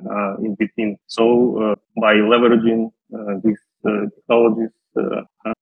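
A man with a Russian accent giving halting, hesitant answers as an interview guest. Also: fairly low pitch, flat delivery, creaky, slightly rough. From Russia, western Siberia. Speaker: podcast guest, data scientist. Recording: muffled phone-like line, roomy echo.

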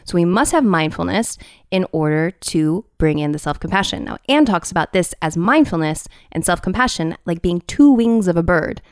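Young woman host, casual and chatty, a touch raspy from a cold, recorded dry and close. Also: fairly low pitch, expressive, normal speed, dry recording. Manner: chatty, uses "like" a lot, self-deprecating. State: has a cold, slightly raspy. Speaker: podcast host. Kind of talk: casual podcast hosting.

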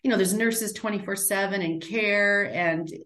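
A woman's voice: mid-range voice, normal speed, reverberant. A middle-aged woman, a guest who talks in a chatty way and often adds "right?" and "like". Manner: chatty, warm, many "right?" and "like" tags. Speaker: guest, end-of-life doula. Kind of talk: casual interview talk.

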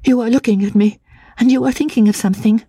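Woman acting a high, breathless, troubled young woman. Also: steady tone, quick pace, mellow, slightly rough, dry recording. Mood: nervous suspicion. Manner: breathless, nervous, stammering. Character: frail, troubled young woman, timid, eager, childlike. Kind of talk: dramatic audiobook reading of classic literature.

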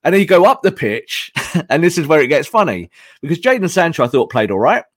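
A hoarse man analyzing football tactics on a podcast, emphatic and repeating his points. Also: a little high, sing-song, brisk, fairly bright tone, no room sound.

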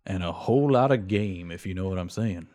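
A man's voice: sits low, brisk, mellow. A man casually presenting his roleplaying character as a performer on a tabletop audio show.

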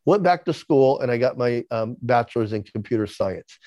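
A man's voice: mid-range voice, animated, moderate pace, darkish tone, no room sound, dull audio. A man in his sixties with a lisp, candidly telling his life story as an interview guest, often repeating words as he starts a sentence. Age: 60s. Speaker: podcast guest.

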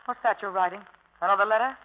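A woman's voice: medium pitch, quick pace, slight background noise, lightly acted. An actress playing an outspoken, scornful young woman in a radio drama, biting and pointed in her delivery. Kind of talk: 1950s radio drama acting. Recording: muffled vintage radio recording.

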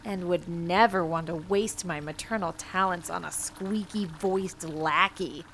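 A woman playing a proud, doting mother, emphatic and quick to indignation, in a comic audio drama. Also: on the low side, a bit bright, a little hiss or hum. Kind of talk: audio drama comedy acting.

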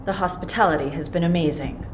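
A woman's voice: deep voice, quick pace, noisy background, natural voice. A woman playing a guarded, principled agency operative held captive, dryly defiant yet hesitant, in an audio drama.

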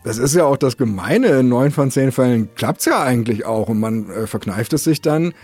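A man with a somewhat husky voice chats casually as a podcast host. He rambles in a self-deprecating way and jumps between thoughts, with faint music underneath. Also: medium pitch, lively intonation, moderate pace, darkish tone.

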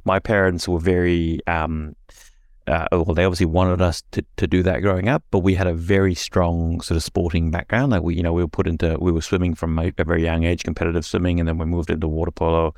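A man from Singapore talking as an interview guest, halting and self-deprecating, laughing at himself. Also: deep voice, flat delivery, darkish tone, no echo, studio quality. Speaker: podcast guest. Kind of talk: casual interview conversation.

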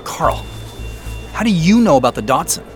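A man's voice: on the high side, big pitch swings, normal speed, faint music behind. A man acting in an audio drama as a wary, uneasy protagonist who also narrates the story. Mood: wary, suspicious.

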